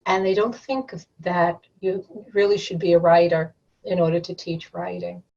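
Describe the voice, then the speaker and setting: A woman's voice: on the low side, steady tone, moderate pace, gravelly, a little mellow, far from the mic, highs cut off. A woman guest on an education podcast who speaks in a thoughtful, anecdotal way. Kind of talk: podcast interview.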